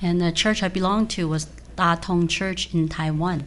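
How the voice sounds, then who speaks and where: A woman interpreting a church testimony sentence by sentence, in a plain and steady way. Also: lowish voice, moderate pace. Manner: plain, steady rendering with fillers.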